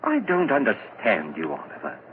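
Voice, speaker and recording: A male actor playing a gentle, idealistic man in an earnest, dramatic 1940s radio style. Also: fairly low pitch, huge pitch swings, moderate pace. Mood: troubled pleading. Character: gentle idealistic man with uncannily keen hearing. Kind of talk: 1940s radio horror acting. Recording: tinny, noisy old radio broadcast.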